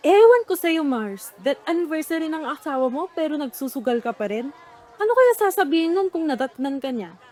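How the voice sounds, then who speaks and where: High-voiced, gossipy Filipino auntie played by a woman. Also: big pitch swings, brisk, darkish tone. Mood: chiding. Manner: chiding, teasing. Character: gossipy middle-aged gambling friend of a mother. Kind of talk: radio drama acting, casual chat.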